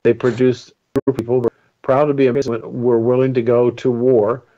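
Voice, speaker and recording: A man's voice: medium pitch, flat delivery, fairly quick, dark tone, highs cut off. A man speaking as a radio panelist, earnest and impassioned, in a rambling way. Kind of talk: opinionated radio talk.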